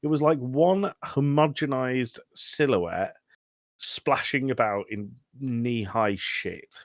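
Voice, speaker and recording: A man's voice: mid-range voice, slow pace, no room sound, clean studio sound. A man hosting a casual film review chat in a blunt, sweary, exasperated way. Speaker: podcast host.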